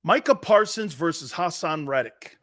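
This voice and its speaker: A man's voice: a little high, expressive, moderate pace, fairly bright tone. A middle-aged man hosting a live sports talk show, joking and rambling, laughing often as he talks. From Italian-American family.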